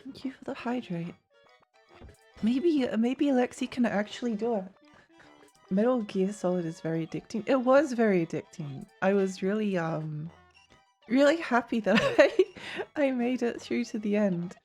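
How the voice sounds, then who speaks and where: A woman streaming a video game, chatting casually with many fillers and little laughs, her voice a bit croaky. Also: fairly high pitch, lively intonation, normal speed, darkish tone, faint music behind. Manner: rambling, giggly, many fillers and restarts. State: slightly croaky voice. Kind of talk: casual live-stream chatter while gaming.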